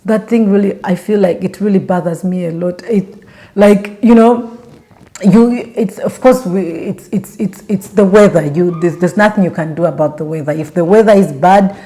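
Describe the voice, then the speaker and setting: Young ugandan woman vlogging, warm mellow voice, rambly w lots of restarts, asks viewers stuff. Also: lowish voice, moderate pace. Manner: rambling, with many restarts and fillers, asking the viewers directly. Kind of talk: casual chat to camera.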